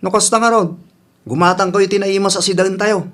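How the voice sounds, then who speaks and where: A man's voice: high voice, steady tone, brisk, fairly bright tone. A man as a casually persistent grown son. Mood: nagging. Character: reassuring but distrustful grown son. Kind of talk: radio drama acting.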